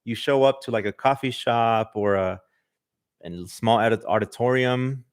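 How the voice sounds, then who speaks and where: A man's voice: mid-range voice, normal speed. A man from Southern California with a slight Mexican accent, a podcast host chatting loosely on a livestream and reading viewer comments, with lots of "like" and "um". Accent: Mexican Spanish influence. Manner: loose and chatty, many "like" and "um" fillers. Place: livestream in front of a camera.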